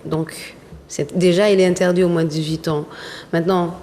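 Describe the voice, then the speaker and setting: Matter-of-fact low woman in a reverberant hall. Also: fairly even intonation, normal speed, darkish tone. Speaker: event organizer, guest. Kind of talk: explanatory interview answers. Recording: reverberant hall with faint noise.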